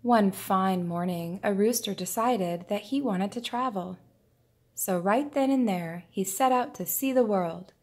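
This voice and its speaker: A woman reading a children's picture book aloud in a deliberate, expressive storytelling manner. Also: medium pitch. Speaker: preschool teacher reading aloud.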